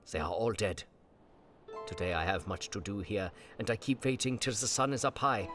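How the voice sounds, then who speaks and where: An actor playing an earnest, God-fearing aging vampire hunter, narrating his diary solemnly in halting old-fashioned English. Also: mid-range voice, a bit bright, lightly acted. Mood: weary, grave. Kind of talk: dramatic diary narration in an audio drama. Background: guitar music.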